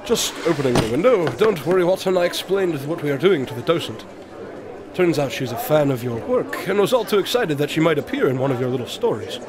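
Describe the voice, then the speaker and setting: A man's voice: fairly high pitch, expressive, fairly quick, crowd noise, lightly acted. A man playing an eccentric, observant detective in an audio drama, speaking in a precise, formal, absent-minded way. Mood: curious, composed.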